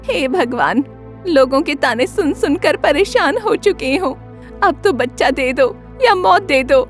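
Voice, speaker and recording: A woman's voice: sits high, animated, unhurried, over music, pitched above the performer's own voice. A woman voicing a meek, wronged female goat in a cartoon fable, plaintive and imploring. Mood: despairing.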